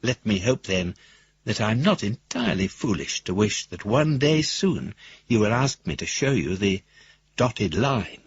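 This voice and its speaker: A man's voice: lowish voice, sing-song, unhurried, breathy edge, dry recording, dull audio, exaggerated voice. An actor playing a philosophical, courtly businessman in love, reading his formal, wistful letter aloud in a radio drama. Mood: tender.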